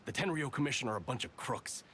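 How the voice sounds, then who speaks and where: A man's voice: medium pitch, animated, fast talker, pushed and bright, a little hiss or hum. A man voicing an indignant, distrustful young soldier in a video game, with an emphatic, grumbling delivery. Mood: indignant, scornful.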